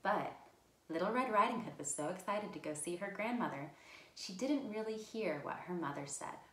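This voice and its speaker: A woman's voice: mid-range voice, lively intonation, normal speed, reverberant, rough recording. A woman hosting a children's storytime, speaking simply and encouragingly as if to young children. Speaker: children's library storytime host.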